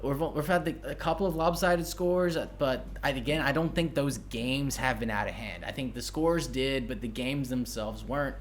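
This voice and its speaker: A man's voice: mid-range voice, moderate pace, hardly any pauses, a little hiss or hum. A man hosting a podcast, chatting casually and thinking aloud as he rambles. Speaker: podcast host. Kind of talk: casual chat.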